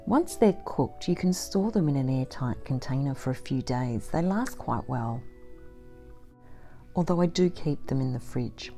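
Low, mellow woman narrating her homestead. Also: lively intonation. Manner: gentle, measured and matter-of-fact. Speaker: homesteading vlogger. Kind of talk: calm scripted voice-over narration. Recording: voice-over with soft background music. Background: faint instrumental music.

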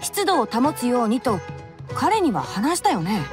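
A woman voicing a composed, dry-witted young male forest expert in an anime game, light and boyish, explaining things in a measured, wry way. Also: fairly high pitch, big pitch swings, over music. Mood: composed, explanatory.

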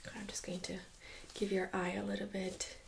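A young woman speaking softly, mostly in a whisper, gently giving instructions in an ASMR medical role-play. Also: mid-range voice, completely flat delivery, moderate pace, creaky, a little hiss or hum. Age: young adult. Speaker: ASMR creator playing a medical examiner. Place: small indoor room set up as exam room.

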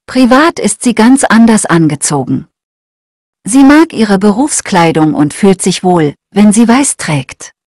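A woman reading short learner texts aloud in a slow, clear, scripted way. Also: mid-range voice, big pitch swings, darkish tone, dry recording, studio quality. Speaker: German lesson reader.

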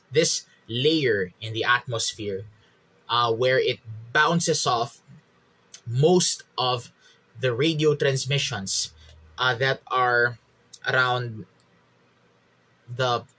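Man, thirties, Filipino accent, native Filipino speaker, medium pitch, casual explainer, halting delivery. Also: bright, forceful tone, low-quality recording. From Philippines. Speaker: podcast host and radio hobbyist reviewer. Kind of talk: casual explanatory vlog, code-switching. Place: small room at home.

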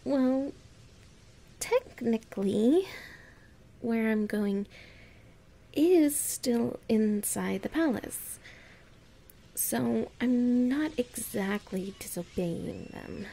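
A woman acting a clumsy, anxious young woman in an audio roleplay, murmuring and thinking aloud in a halting, breathy way. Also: fairly high pitch, lively intonation, dark tone, full character voice. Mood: reasoning, planning.

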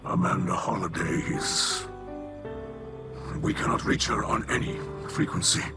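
A man voicing a huge, devout alien warrior in a video game, with a breathy growl and a solemn, reverent delivery. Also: low voice, loud music behind the voice. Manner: slow, reverent.

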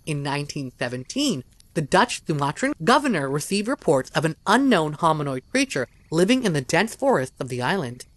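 A narrator reading a scripted documentary story in a measured, even delivery. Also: mid-range voice, dry recording.

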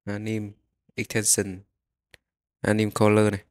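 A man narrating a step-by-step software tutorial, explaining each click as he goes. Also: on the low side, completely flat delivery, a little slow, clean tone.

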